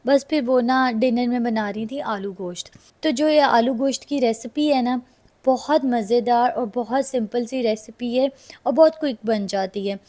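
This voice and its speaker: Slightly hoarse pakistani urdu-accented woman, highish voice, just chatting to her viewers. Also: normal speed, mellow. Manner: chatty, addresses viewers directly. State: slightly hoarse from a sore throat. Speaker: vlogger. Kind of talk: casual cooking vlog voice-over.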